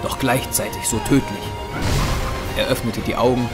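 A man narrating a scripted dramatic story for an audio drama in short, deliberate, measured phrases. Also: medium pitch, steady tone. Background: music.

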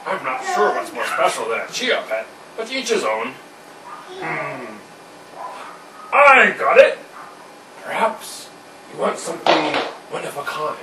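A man's voice: fairly high pitch, big pitch swings, unhurried, long pauses, recorded from a distance, over a noisy crowd. A man voicing a pushy pig shopkeeper with a chuckling salesman's patter, in an amateur home puppet show. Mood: salesy. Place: indoor room with a puppet stage. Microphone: far camera. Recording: roomy noisy home video from afar.

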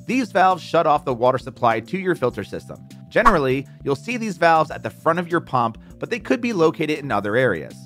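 Guy doing a how-to voice-over, bouncy pitch, slightly high voice, light music under it. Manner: lively, clearly enunciated explainer voice. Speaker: instructional narrator. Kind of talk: scripted how-to narration. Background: soft background music.

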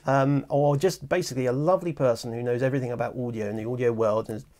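A man's voice: medium pitch, fairly even intonation, quick pace, darkish tone. A man in his thirties, a chatty and enthusiastic host, interviewing a guest in a small studio room on a lapel mic. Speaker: host and audiobook producer. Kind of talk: casual interview chat.

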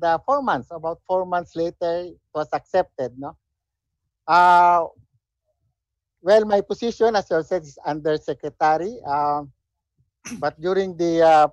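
A man's voice: high voice, dry recording. Interview guest: man in his 70s, Filipino accent, hesitant phrasing, dry lo-fi call audio. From Philippines. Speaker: interview guest, retired military officer. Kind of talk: conversational interview answers. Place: indoor room at home, on a video call. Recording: dull, lo-fi video call audio.

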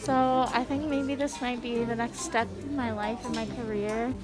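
High-voiced young American woman, playfully dramatic. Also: level tone, moderate pace. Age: young adult. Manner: playful, self-described dramatic. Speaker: vlogger. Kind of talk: casual chat while shopping. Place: shops inside a mall. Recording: loud music mixed in.

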